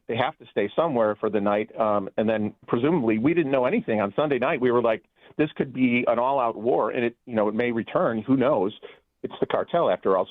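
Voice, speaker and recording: A man's voice: medium pitch, no echo. A man calls into a radio show, his voice squeezed thin by the phone line. He rambles and restarts his sentences, filling gaps with "you know" and "um". The sound is dry, with no room around it. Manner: rambling, many restarts and fillers. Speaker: guest.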